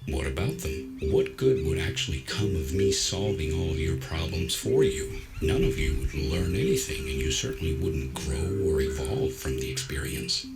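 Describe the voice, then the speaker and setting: Man as a deep-voiced, philosophical android. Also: low-quality recording. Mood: gentle, explaining. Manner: measured, nonchalant, reasoning. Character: calm, philosophical android, self-assured and gently wry. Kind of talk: dramatized science fiction audio story. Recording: music behind the voice.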